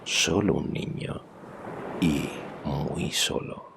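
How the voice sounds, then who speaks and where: A man narrating a scripted fantasy story as an audiobook, with a measured, suspenseful delivery and a gravelly voice. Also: very deep voice, background noise. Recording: music behind the voice.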